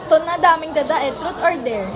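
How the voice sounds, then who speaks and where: Catty, bossy teen girl played by a Filipino woman, high and snappish, with crowd chatter behind. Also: lively intonation, fairly quick. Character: catty, jealous, bossy teenage schoolgirl. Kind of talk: amateur school radio drama acting.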